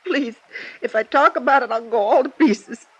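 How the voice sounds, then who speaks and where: An actress with an American accent playing a frightened, secretive country innkeeper's wife in an old radio drama, nervous and halting. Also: female voice, a little high, fairly bright tone, breathy edge, muffled recording. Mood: terrified, pleading.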